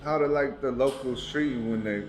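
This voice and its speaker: A man's voice: medium pitch, normal speed, echoey room. A man hosting a podcast, asking loose questions and joking, with frequent short backchannels. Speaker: podcast host. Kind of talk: casual interview chat. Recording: clear background noise.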